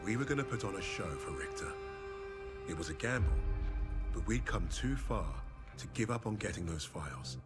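An actor playing a grave soldier who narrates a war story in a solemn, measured way, as in a video game. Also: male voice, sits low, big pitch swings. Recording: loud music under the voice. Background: loud music.

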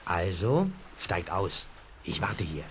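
A man's voice: sits low, very animated, takes it slowly, full character voice. An actor playing a secretive, persuasive middle-aged man in a German children's radio play, speaking with conspiratorial urgency. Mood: pressing. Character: secretive scheming man confiding in boys, smoothly persuasive. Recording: noisy old audio drama recording.

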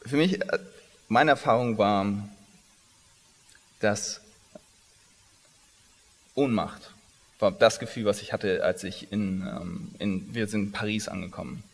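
Thirties male founder from Iran, onstage interview, low voice, restarts a lot, hall echo. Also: slow, vocal fry, long pauses. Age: 30s. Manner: hesitant, many restarts and fillers. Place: large conference hall stage. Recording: long reverb of a big hall.

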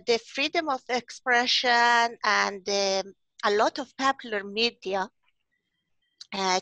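A woman's voice: medium pitch, pushed and bright, no echo, rough recording. A middle-aged woman from Afghanistan, a writer and poet, speaking in a video-call interview through a headset mic with many hesitant fillers.

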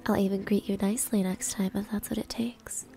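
A woman acts out a proud tsundere maid in an ASMR roleplay. Her medium-pitched voice is soft, breathy and creaky, often dropping to a whisper. She sounds coy, grudging and flustered. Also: little pitch movement, fairly quick, soft background music, natural voice. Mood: coy, insistent.

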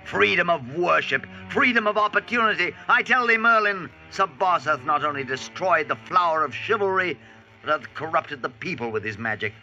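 An actor playing a bitter, indignant medieval knight in a radio drama, sneering in archaic oratory. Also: male voice, a little high, lively intonation, moderate pace, pushed and bright, faint music behind, exaggerated voice. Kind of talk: 1940s radio drama acting. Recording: muffled vintage radio.

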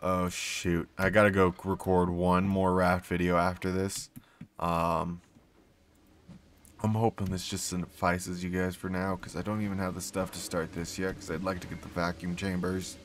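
A man with a slightly hoarse, failing voice, casually rambling as he plays and talks to himself and his creatures. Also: sits low, level tone, moderate pace, slight background noise. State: losing his voice, sore throat. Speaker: gaming YouTuber. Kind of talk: casual gameplay commentary.

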